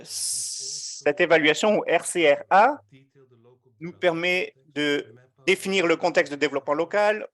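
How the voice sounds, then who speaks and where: A man giving a live interpretation of an online meeting, measured and often hesitating as he keeps up with the speakers. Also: a little high, fairly bright tone. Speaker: simultaneous interpreter.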